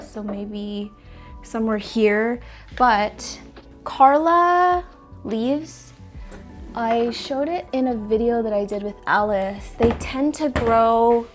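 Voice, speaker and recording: A sniffly woman chatting casually and rambling a little while she rearranges houseplants in her apartment. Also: a little high. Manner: chatty, rambling, self-correcting. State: sniffly, stuffy nose. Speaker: plant vlogger. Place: apartment plant room.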